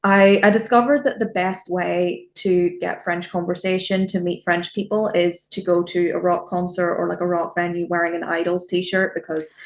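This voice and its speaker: A young woman with a Northern Irish accent chats on a podcast, lower-pitched than most women. Her speech is loose and full of fillers and restarts. The recording sounds a little dull and lo-fi. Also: level tone, normal speed, few pauses. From Northern Ireland. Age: young adult. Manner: chatty, with many fillers and restarts. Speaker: podcast guest, student and music journalist. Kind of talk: casual podcast chat.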